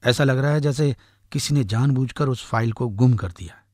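A man playing an earnest, anxious husband and office worker in an animated story, with a husky voice. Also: medium pitch, moderate pace, no echo, clean studio sound, natural voice. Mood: worried. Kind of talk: animated moral story voice acting.

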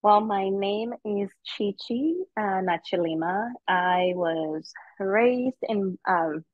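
Zambian woman, middle-aged, talks real slow and earnest, dull lo-fi phone sound. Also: medium pitch, no echo. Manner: unhurried, earnest, with many ums. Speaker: guest. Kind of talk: personal storytelling. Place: outdoors on a footbridge.

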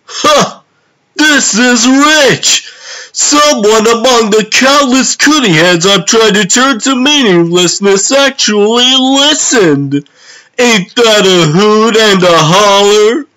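A man's voice: high voice, slow pace, sharp tone, heavily acted, poor audio. A man voicing a gleefully zany clownish super-villain in a comic dub, theatrical, exclamatory and sing-song. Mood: incredulous glee.

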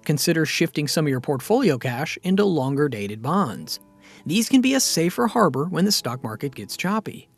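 A man narrates a scripted finance explainer in a steady, explanatory manner. His voice sits a little high and moves through a lively range of pitch. Soft music plays quietly underneath.

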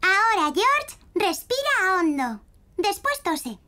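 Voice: very high-pitched, big pitch swings, slow pace, sharp tone, heavily acted. A performer voicing a cheerful, bossy little girl piglet in a children's cartoon, with a playful, eager manner and a Castilian Spanish accent. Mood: playful, mock-serious.

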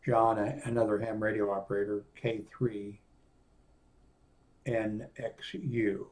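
An older man in his sixties with a fairly low voice reviews a product off the cuff. He talks casually and haltingly, rambling between his own remarks and bits he reads aloud from a screen. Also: level tone, a little mellow. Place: small indoor home office room.

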